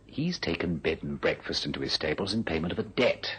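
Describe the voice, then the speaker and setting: An actor playing a scheming, smooth-talking businessman in a radio drama, with a glib, wheedling and condescending manner. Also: low-pitched, very animated, fairly quick, fairly bright tone, slight background noise. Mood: conspiratorial. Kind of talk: old-style radio drama acting.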